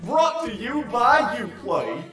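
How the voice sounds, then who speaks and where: A woman's voice: fairly high pitch, big pitch swings, takes it slowly. Game announcer played by a woman: relentlessly perky and patronizing, swooping delivery, heavy echo, faint music. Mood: chipper. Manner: perky, condescending. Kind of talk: anime parody dub, game announcer. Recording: very echoey, distant, music behind.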